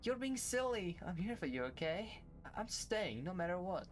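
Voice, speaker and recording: A man's voice: high-pitched, very animated, moderate pace, faint music behind, voice pushed up. A man voicing a tiny, caring teenage girl in a furry visual novel, earnest, reassuring and a little hesitant. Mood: worried, pleading.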